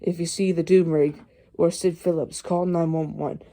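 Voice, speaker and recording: A speaker reading a mock emergency alert broadcast as the announcer, in an amateur and somewhat halting way. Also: medium pitch, little pitch movement, mellow.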